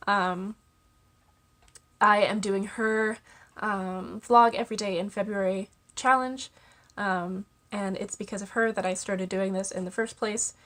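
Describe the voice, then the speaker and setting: A woman's voice: medium pitch, a bit bright. Stuffy-nosed young woman vlogging casually. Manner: chatty, many ums and little laughs. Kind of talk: casual talk to camera. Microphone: camera mic.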